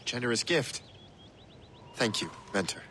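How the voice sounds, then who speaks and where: A man's voice: medium pitch, lively intonation, measured pace, sharp tone. Young male assassin, video game, wry, confident, casual, noisy ambient backdrop. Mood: wry, easygoing. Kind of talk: video game dialogue acting.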